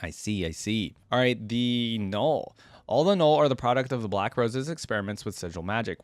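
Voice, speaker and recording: A man with a fairly low voice talks very quickly in a close, dry recording. He hosts a reaction video, moving between reading text aloud and casual, conversational commentary of his own. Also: dry recording. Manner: informal, conversational asides.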